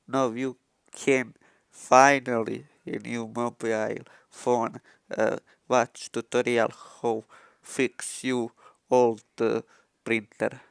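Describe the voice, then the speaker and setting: A young man who is not a native English speaker, recording a rambling phone tutorial in halting broken English full of restarts, on a handheld microphone. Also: medium pitch, flat delivery, creaky, clean tone, no echo. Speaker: tutorial vlogger. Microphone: handheld microphone he keeps touching.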